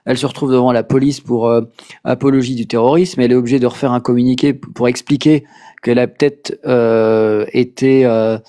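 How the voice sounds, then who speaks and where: Hesitant man with a mellow voice. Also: mid-range voice, fairly even intonation, fairly quick, clear, resonant tone. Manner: hesitant, many fillers and restarts. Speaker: podcast host. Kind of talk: casual political chat.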